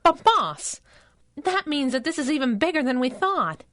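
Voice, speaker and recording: Lively, wisecracking sidekick heroine. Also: female voice, sits high, moderate pace. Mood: eager, concerned. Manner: breezy, slangy, sassy. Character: plucky, wisecracking female superhero sidekick. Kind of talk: 1930s radio serial acting.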